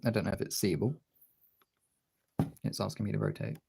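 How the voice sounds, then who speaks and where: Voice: deep voice, steady tone, fairly quick, gravelly, mellow, breathy edge. A streamer chatting casually while demonstrating drawing, talking in an informal, sweary way. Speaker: art streamer.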